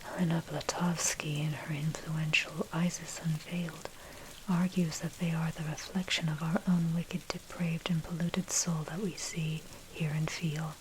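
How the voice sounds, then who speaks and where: A woman narrator reading a scripted history essay as ASMR in a breathy, unvoiced whisper, measured and deliberate. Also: low-pitched, flat delivery. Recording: noisy track with rain and thunder. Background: rain and thunder ambience.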